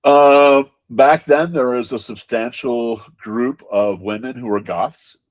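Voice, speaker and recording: A man's voice: medium pitch, slow pace, dull audio. A man giving conversational interview answers as a game designer, emphatic, repeating words and often checking in with rhetorical questions.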